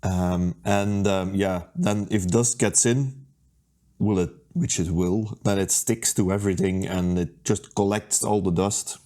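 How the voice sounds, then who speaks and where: A man talking through a hands-on repair at his workbench, unhurried and thinking aloud with plenty of ums and uhs. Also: sits low, steady tone, warm tone. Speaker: repair video host. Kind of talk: casual how-to narration.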